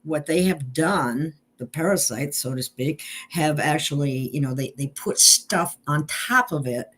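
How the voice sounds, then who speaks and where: A woman's voice: deep voice, measured pace, a bit bright, husky. A woman hosting a spiritual talk show, speaking earnestly and drawing out her guest.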